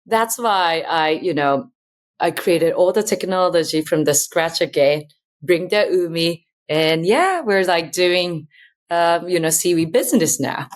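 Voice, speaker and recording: A woman's voice: on the low side, unhurried. A woman in her thirties from Japan with a Japanese accent, talking earnestly as an interview guest, filling her sentences with "you know" and loose phrasing. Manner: earnest, chatty, many "you know" fillers, loose grammar. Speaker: guest, company founder. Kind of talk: casual interview talk.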